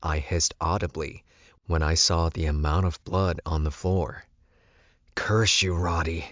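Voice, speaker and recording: Low-pitched man narrating fiction. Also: fairly even intonation, measured pace, no echo, muffled recording. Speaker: audiobook narrator. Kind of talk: first-person scripted fiction narration.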